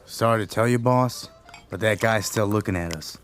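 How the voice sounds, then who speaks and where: Male, acting a mob sidekick for radio drama: deep, loyal, dismissive. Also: normal speed, faint music behind, lightly acted. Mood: wary, dismissive.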